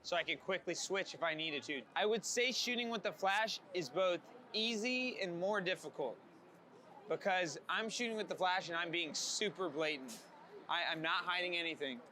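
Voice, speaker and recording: A man's voice: sits high, moderate pace, bright, forceful tone, slight background noise. A man, a street photographer being interviewed, talking in a casual, candid way.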